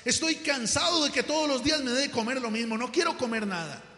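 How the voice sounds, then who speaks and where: An exhausted, emotional family man in a spoken parable, acted out by a male preacher. His voice is high, loud and exasperated, ringing through a reverberant hall with music behind it. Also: animated, a little fast, full character voice. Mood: exasperated, fed up. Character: José, irritable exhausted husband and father, later desperately pleading.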